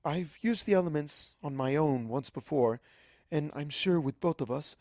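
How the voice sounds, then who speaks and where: A man's voice: on the high side, moderate pace, darkish tone, dry recording, clean studio sound, voice pushed up, close to an everyday voice. A man voicing a regal, commanding female pony princess, a stately mentor, in a storybook reading. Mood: composed, approving. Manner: stately, addressing a student. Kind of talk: storybook character voice.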